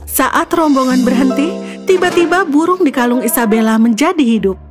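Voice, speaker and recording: A woman storyteller with a fairly high voice reads a scripted children's fairy tale. Her narration runs over soft background music. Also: lively intonation, moderate pace. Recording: music behind the voice.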